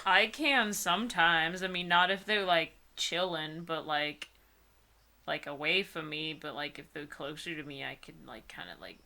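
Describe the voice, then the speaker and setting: A woman's voice: deep voice, normal speed, sharp tone. A young woman co-host in casual comedic chat, wry and slangy, hedging as she comments.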